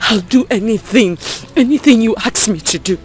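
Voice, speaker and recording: A woman's voice: on the high side, normal speed, lightly acted. A woman playing a desperate mother who pleads and weeps. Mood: pleading. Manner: pleading, weeping. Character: desperate mother begging for her daughter.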